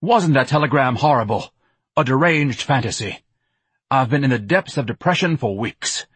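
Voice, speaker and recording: A man's voice: medium pitch, lively intonation, normal speed, fairly bright tone, breathy edge, dry recording, pitched above the performer's own voice. A man voicing a pompous, self-pitying, overweight grown man who talks in grand, melodramatic speeches.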